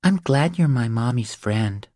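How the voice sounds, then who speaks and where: Man voicing a sweet, insistent, high-pitched little girl. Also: expressive, measured pace, warm tone, no room sound, studio quality, natural voice.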